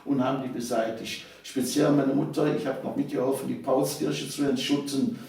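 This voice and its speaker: Echoey older man with creaky voice. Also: medium pitch, level tone, moderate pace, darkish tone. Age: 70s. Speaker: interview guest, author of local history books. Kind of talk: conversational reminiscence. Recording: echoey room, distant microphone.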